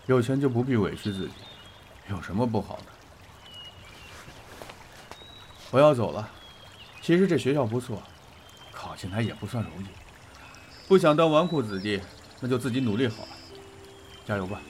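An actor playing a composed, gentle and self-assured man of about thirty in a Chinese audio drama, with a reassuring manner. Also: medium pitch, lively intonation, fairly quick, long pauses, a little hiss or hum, natural voice. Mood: calm, encouraging. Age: around 30.

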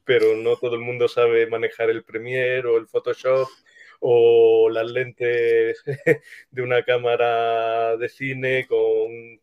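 A man's voice: medium pitch, clear, resonant tone. A man giving casual, thoughtful and hesitant interview answers as a screenwriter. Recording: dull, lo-fi sound.

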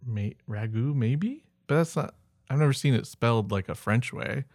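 A man's voice: mid-range voice, normal speed, darkish tone, no echo, studio quality. A man co-hosting a podcast in casual, humorous chat, reading out words and rambling into offhand asides. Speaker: podcast co-host.

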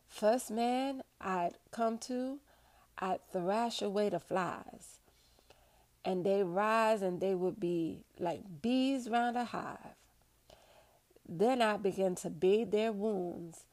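A woman reading in the role of a humble, formerly enslaved woman who recounts wartime nursing in a slow, plainspoken, folksy way. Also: medium pitch, darkish tone.

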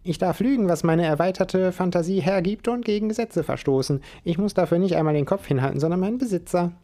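A man's voice: sits high, quick pace, warm tone, voice pushed up. A man voicing a talking gray squirrel with a nanochip, speaking in a level, reasoning way. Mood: matter-of-fact.

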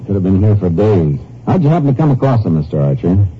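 An actor playing a brisk, observant Texas Ranger investigator, terse and authoritative, in 1950s radio drama style. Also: male voice, lowish voice, sing-song, breathy edge. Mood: brisk, probing. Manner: terse, matter-of-fact, authoritative. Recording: muffled, noisy old radio recording.

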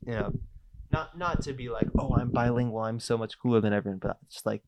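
A young man co-hosting a podcast in relaxed, hesitant casual chat. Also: medium pitch, fast, a crowd in the background. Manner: relaxed, hesitant, many fillers. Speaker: podcast co-host.

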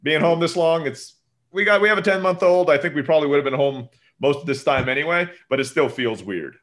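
A man in his thirties, a podcast guest, chatting casually over a remote call mic and laughing often. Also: a little high. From Turkey. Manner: fast, animated, laughs often. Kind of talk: casual interview chat.

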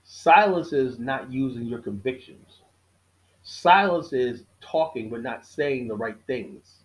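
A middle-aged man hosting his own podcast, giving a casual monologue with a preachy tone, repeating key lines for emphasis, often halting and restarting. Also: medium pitch, expressive, poor audio. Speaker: podcast host.

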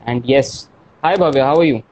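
A man's voice: medium pitch, moderate pace, a little mellow, a little hiss or hum, poor audio. A young man with an Indian accent hosting a casual live online chat, switching between English and Hindi with a self-deprecating manner. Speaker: host. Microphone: earphones removed, online live call.

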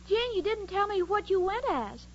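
A woman's voice: very high-pitched, very animated, moderate pace. An actress playing a cheerful, naive, scatterbrained young woman in a 1940s radio comedy. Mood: curious. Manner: earnest, dim-witted. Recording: noisy, muffled old radio recording.

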